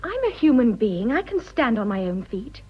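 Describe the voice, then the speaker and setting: An actress playing an earnest, defiant young wife in love, speaking simply and resolutely in a radio drama. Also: female voice, on the high side, sing-song, moderate pace, breathy edge, muffled recording. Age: young adult.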